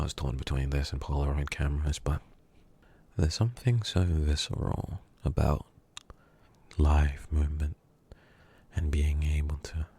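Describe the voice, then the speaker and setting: A man as a very deep, smug kidnapper. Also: warm tone, breathy edge, heavily acted. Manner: slow, teasing, drifting into whispers. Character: menacing, smug male kidnapper. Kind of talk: ASMR roleplay.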